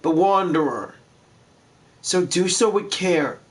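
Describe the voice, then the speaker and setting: A man's voice: sits high, slow. A man hosting a video game walkthrough, reading game text aloud and commenting casually. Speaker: gameplay walkthrough host.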